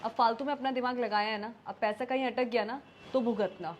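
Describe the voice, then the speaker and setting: Young Indian wife, played by an actress, high voice, proud, easily stung, speaks her mind in domestic drama. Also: fairly even intonation, a little fast, dull audio, slight background noise, close to an everyday voice. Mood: scornful. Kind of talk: scripted domestic drama acting in Hindi with some English.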